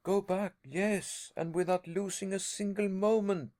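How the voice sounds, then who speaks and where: A man voicing a desperate young explorer who begs his uncle to turn back, crying out in excitement. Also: a little high, measured pace, pitched above the performer's own voice. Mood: pleading. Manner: excited, pleading cries. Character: young male nephew explorer, desperate and pleading.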